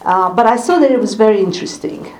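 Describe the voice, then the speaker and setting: A woman in her sixties with a Hungarian accent, giving a thoughtful public lecture as a scholar, speaking in an unhurried way with small pauses. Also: mid-range voice, a little mellow, slight background noise. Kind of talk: public talk at an evening event.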